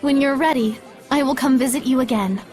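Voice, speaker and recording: An actress playing a composed young princess with gracious, formal and measured phrasing in a fantasy game scene. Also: female voice, high-pitched, a little mellow, close to an everyday voice. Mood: gracious, earnest. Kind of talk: dubbed fantasy game cutscene acting. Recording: orchestral music behind the voice.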